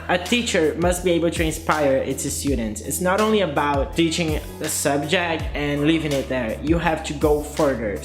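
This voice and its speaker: A man's voice: a little high, echoey room. Young man, playful storyteller. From Brazil. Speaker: guest YouTuber. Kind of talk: scripted vlog monologue and interview answers.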